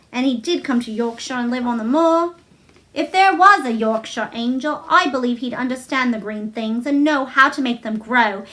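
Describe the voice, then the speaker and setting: A woman's voice: on the high side, a bit bright, lightly acted. A woman reading a storybook as a frank, earnest young girl, plain-spoken and childlike.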